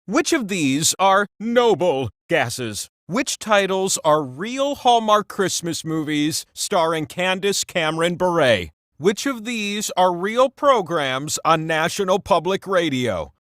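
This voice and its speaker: Campy immortal game show wheel, super high and swoopy, showy and full of himself, really dry studio sound. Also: male voice, very animated, slow, a bit bright, dry recording, exaggerated voice. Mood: upbeat quizzing. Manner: playful, showy, self-important asides. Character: immortal talking game-show wheel, boastful, campy male trivia host. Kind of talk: theatrical scripted game-show hosting.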